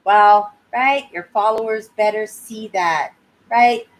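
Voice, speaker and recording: A woman in her sixties from Canada, chatting casually on her live stream in a clear, high-pitched voice and often ending sentences with "right?". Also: takes it slowly, rough recording. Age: 60s. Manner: rambling, tags sentences with "right?". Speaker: YouTube channel host.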